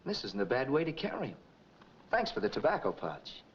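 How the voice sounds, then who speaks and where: Swinging-pitched, sardonic film-noir crook. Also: male voice, huge pitch swings, a little fast. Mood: coolly mocking. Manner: sardonic, commanding. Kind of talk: 1940s crime film acting. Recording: dull, noisy 1940s film soundtrack.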